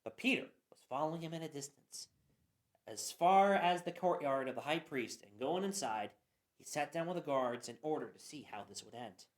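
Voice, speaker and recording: A woman giving a dramatic Bible reading, expressive, with occasional stumbles. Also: deep voice, moderate pace. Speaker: scripture reader. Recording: roomy echo.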